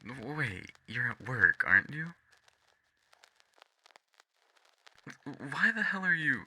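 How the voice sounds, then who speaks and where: A man playing a blunt, sarcastic vampire mentor in an audio roleplay, talking in a casual, halting, exasperated way. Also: mid-range voice, sing-song, pushed and bright, husky, heavily acted. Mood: startled, incredulous. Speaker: voice actor. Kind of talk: ASMR roleplay audio drama, one-sided conversation.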